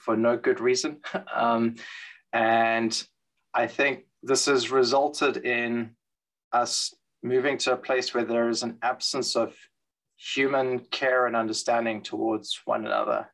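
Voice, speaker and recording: A man answering interview questions in a thoughtful, measured way, with frequent fillers and restarts. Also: mid-range voice, little pitch movement, creaky, fairly bright tone, low-quality recording. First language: English. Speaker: guest, transit expert. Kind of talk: interview conversation.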